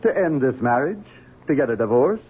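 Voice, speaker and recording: An actor playing a bitter, domineering Southern husband in an old-time radio mystery, emphatic and exasperated. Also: male voice, medium pitch, sing-song, fairly quick, slightly rough. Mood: relieved, firm. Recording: noisy, narrow vintage radio recording.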